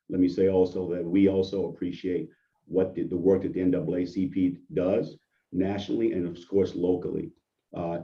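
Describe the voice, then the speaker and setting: A hoarse man, a deputy police chief, giving an earnest presentation on a video call. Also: sits low. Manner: earnest, appreciative, restarts often. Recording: distant muffled video call audio.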